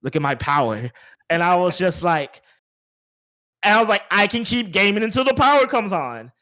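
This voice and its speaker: Guy hosting a games podcast, slightly high voice, chatty, rambles and repeats himself. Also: big pitch swings, moderate pace, sharp tone. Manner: rambling, repeats words while thinking aloud. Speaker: podcast host. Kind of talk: casual video game podcast chat.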